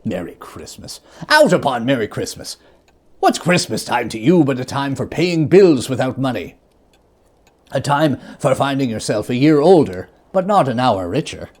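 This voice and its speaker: A reader voicing a bitter, stern old miser in a storybook reading, with a husky, grumbling, curt delivery. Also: medium pitch, big pitch swings, moderate pace, a little mellow. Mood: indignant.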